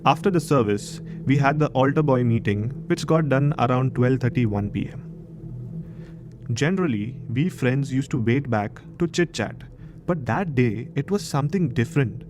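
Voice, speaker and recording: A man's voice: medium pitch, lively intonation, moderate pace, background noise. A man in his thirties from Maharashtra, India, with an Indian accent, hosting and narrating a horror story show. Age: 30s.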